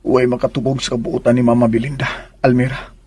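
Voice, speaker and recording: Casting: male actor as terse, headstrong young Filipino heir, radio soap, rough-edged voice, close dry sound. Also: medium pitch, level tone, a little fast, a little mellow, dry recording, natural voice. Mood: firm, resentful. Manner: commanding, terse. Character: stubborn, curt young master, loyal son. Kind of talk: Filipino radio drama acting.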